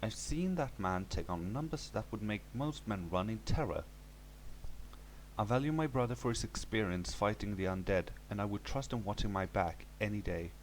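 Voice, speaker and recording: Deep voiced guy reading a zombie-type horror story, bit of hum on the recording. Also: moderate pace, low-quality recording. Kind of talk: scripted first-person story narration.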